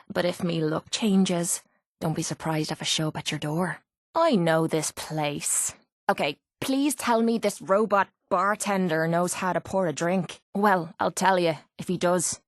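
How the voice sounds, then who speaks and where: A woman's voice: mid-range voice, no room sound, studio quality, natural voice. Actress doing a mouthy irish fighter girl for a game, scrappy and mocking, boozy tough type, no room sound. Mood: dry, thirsty. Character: brash, sarcastic, hard-drinking young woman brawler. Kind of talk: video game companion voice lines.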